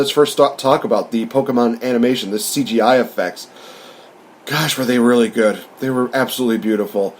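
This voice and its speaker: A man's voice: medium pitch, darkish tone. A man hosting a podcast, talking through a film review in an informal, chatty, rambling way. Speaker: podcast host.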